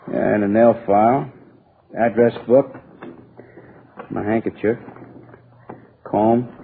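An actor playing a shifty, slangy, defensive small-time crook in a radio crime drama, on a tinny old recording. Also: male voice, lowish voice, vocal fry, slight background noise. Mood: defiant. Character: shifty small-time crook, wise guy under questioning.

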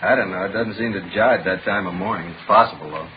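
A man's voice: deep voice, fast talker, lightly acted. A man playing a puzzled, laconic police reporter in a vintage radio crime drama, talking in short, casual, musing phrases. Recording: dull, faintly noisy old radio broadcast.

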